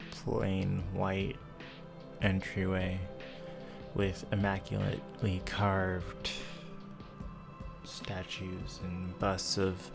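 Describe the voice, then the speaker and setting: A low-pitched man acts as game master for a tabletop roleplaying game. His voice is gravelly with fry, and he describes scenes in a measured way. Faint music plays underneath. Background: soft music.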